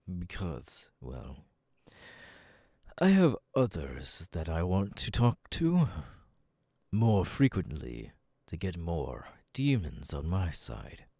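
A man voicing a cold, domineering demon lord in an ASMR audio roleplay, commanding and contemptuous, dropping into breathy murmurs. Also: low voice, big pitch swings, slow, long pauses, warm tone, no echo, clean studio sound, exaggerated voice. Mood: calculating.

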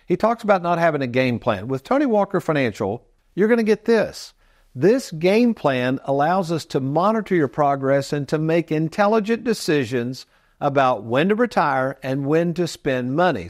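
A man in his sixties presenting financial advice as a show host, folksy and emphatic as he explains to viewers. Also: fairly high pitch. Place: TV studio set, indoors.

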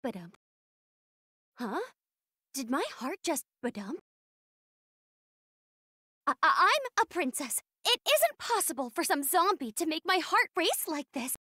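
High-voiced haughty demon princess. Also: female voice, big pitch swings, measured pace, pauses often, pushed and bright, no echo, studio quality, exaggerated voice. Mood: flustered, smitten. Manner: prim, dramatic. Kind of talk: anime-style video game voice acting.

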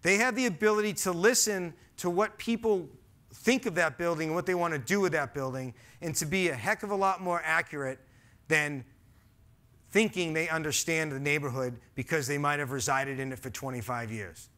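A man in his forties with a Boston accent gives an earnest, emphatic presentation to an audience. His voice sits a little high and carries a bright, forceful edge. He is picked up on a headset mic in a large auditorium. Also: moderate pace. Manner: earnest, emphatic, gestures while speaking. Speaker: conference speaker. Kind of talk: stage presentation. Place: large dark auditorium stage.

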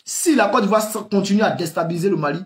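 A man's voice: high voice, fast. A man in his thirties with a West African French accent, giving impassioned political commentary to camera, full of rhetorical questions and laughs. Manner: animated, rhetorical questions, frequent laughs, gestures with hands. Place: small room indoors. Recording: echoey room.

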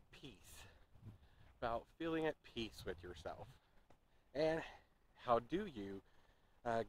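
A man in his thirties giving casual, rambling advice while walking on a park path, filming himself at arm's length. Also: mid-range voice. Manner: relaxed, rambling, with fillers and restarts. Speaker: vlogger. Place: outdoors on a park greenway, walking. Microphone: handheld camera at arm's length. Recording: outdoor camera audio with clear ambient noise.

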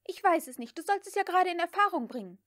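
Woman reader as a headstrong, high-pitched young Southern belle. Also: very animated, a bit bright, heavily acted. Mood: angry, urgent. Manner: brisk, commanding. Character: young headstrong Southern woman, impatient, bossy, sharp-tempered.

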